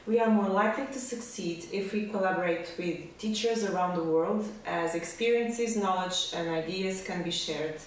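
A woman from Portugal, a maths teacher, reading a scripted presentation in a measured, deliberate way. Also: lowish voice, slight background noise. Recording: very echoey room, distant mic, faint ambience.

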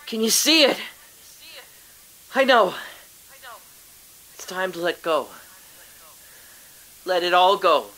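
An actor playing a dying father who speaks hushed, halting, awed last words to his family in an audio drama. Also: sits high, expressive, exaggerated voice, poor audio.

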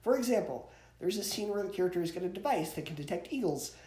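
Young man, mellow timbre, opinionated reviewer, casual countdown delivery, echoey home recording. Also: high-pitched, animated, fast. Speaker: movie reviewer. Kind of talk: casual scripted video review, countdown list. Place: small indoor bedroom with posters.

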